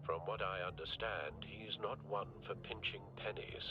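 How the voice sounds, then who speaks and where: An actor playing a loyal, watchful older butler in a video game, with a dry, formal and articulate delivery. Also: male voice, on the low side, steady tone, moderate pace, lo-fi sound, close to an everyday voice. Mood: businesslike, dry.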